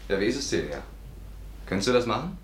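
An actor playing a brisk, placating young boyfriend with schemes, in a 1950s German radio play. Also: male voice, medium pitch, sing-song, natural voice, poor audio. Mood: persuasive.

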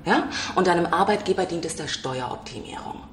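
An actress playing a yoga instructor in a radio play, soothing and evasive in naturalistic dialogue. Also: female voice, lowish voice, lively intonation, a little fast, echoey room, a little hiss or hum, lightly acted.

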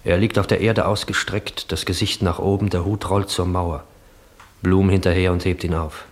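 An actor playing the grave narrator of a nightmarish radio play, reading dramatic scripted stage scenes. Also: male voice, sits low, level tone, normal speed, a little hiss or hum. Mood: grave, portentous.

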